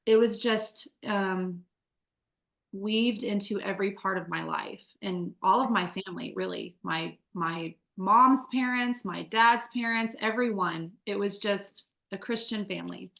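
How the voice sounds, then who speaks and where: Bright-voiced woman telling her story. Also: medium pitch, unhurried, vocal fry, roomy sound. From Midwest United States. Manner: candid, chatty, many fillers and restarts. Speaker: guest. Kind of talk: conversational testimony.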